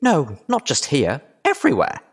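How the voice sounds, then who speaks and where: A man's voice: a little high, huge pitch swings, moderate pace, creaky, slightly rough, voice pushed up, full character voice. A man voicing an earnest, determined young female pony adventurer in an audiobook.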